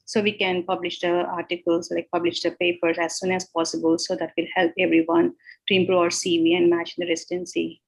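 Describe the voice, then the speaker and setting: A woman's voice: lowish voice, level tone, brisk, creaky, low-quality recording. A woman from India introducing herself as a research fellow on a video call, earnest, with many fillers.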